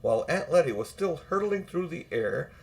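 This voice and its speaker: A man's voice: a little high, sing-song, normal speed, gravelly, poor audio. An older man narrating a children's storybook aloud as an audiobook reader.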